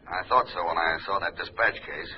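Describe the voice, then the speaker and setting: An actor playing a brisk, discreet federal agent over the telephone in a 1950s radio spy drama, terse and businesslike. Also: male voice, low voice, slightly rough. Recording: dull, distant old radio phone line.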